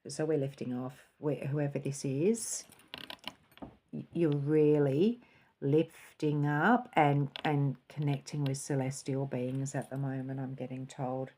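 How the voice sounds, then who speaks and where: A woman giving a spiritual tarot and cup reading in a soft, musing way, sometimes dropping into a quiet, calm voice. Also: very deep voice, slow, a little hiss or hum.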